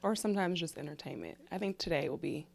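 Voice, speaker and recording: A woman in her thirties with a fairly low voice talks in a casual, conversational way. She sounds earnest and persuasive, as a co-host making her case on a family vlog. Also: fast talker, vocal fry. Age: 30s.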